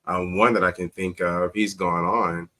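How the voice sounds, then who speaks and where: A man's voice: deep voice, steady tone, normal speed, pushed and bright. A man in an interview, telling personal stories conversationally as a guest and acting out quoted dialogue with emphasis. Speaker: talk show guest, teacher. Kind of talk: conversational storytelling on a talk show.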